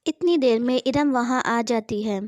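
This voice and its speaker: A woman narrates a scripted horror tale. Her voice sits fairly high, and the sound is very dry, with no room around it. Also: unhurried, no echo. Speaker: story narrator.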